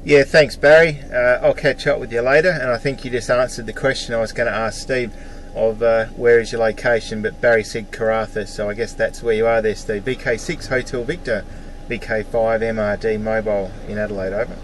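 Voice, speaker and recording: A man's voice: medium pitch, fairly even intonation, a little fast. An Australian man working as a mobile amateur radio operator, trading casual greetings and callsigns. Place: vehicle, out on the road. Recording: faintly noisy mobile radio in vehicle.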